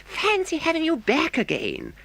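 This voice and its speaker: A man's voice: extremely high pitch, huge pitch swings, normal speed, gravelly, fairly bright tone, no echo, voice pushed up, exaggerated voice. A man voicing a small, adoring mole in an audiobook, eager and full of exclamations. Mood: overjoyed. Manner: breathlessly delighted, exclamatory.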